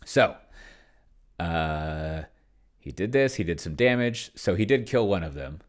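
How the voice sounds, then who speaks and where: Male game commentator, notably low-pitched, casual and rambling, talking himself through each decision. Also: animated, measured pace. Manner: rambling, musing to himself as he works. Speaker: solo tabletop role-player and host. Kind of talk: casual think-aloud gameplay commentary.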